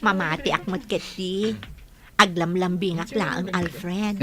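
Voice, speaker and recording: A woman's voice: fairly low pitch, steady tone, slow pace. An actress playing a playful, affectionate young girlfriend who teases and giggles, with crowd noise behind her. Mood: teasing. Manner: teasing, giggly. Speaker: radio drama actor. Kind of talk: radio drama acting. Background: park crowd.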